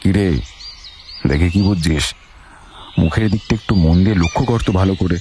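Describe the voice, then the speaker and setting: An actor playing a brisk, confident, commanding detective in an audio detective story, questioning and instructing in clipped phrases. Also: male voice, low voice, dark tone, slight background noise, natural voice. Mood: probing, assured.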